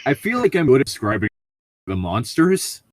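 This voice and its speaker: A man's voice: mid-range voice, wildly swooping pitch, moderate pace, no room sound, clean studio sound. A man co-hosting a podcast, switching between casual chat and reading stories aloud.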